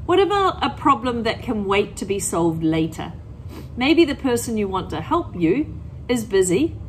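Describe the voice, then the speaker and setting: A woman's voice: mid-range voice, a little hiss or hum, low-quality recording. A middle-aged woman teacher explaining things to children in plain words and reading aloud expressively from a picture book. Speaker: primary school teacher.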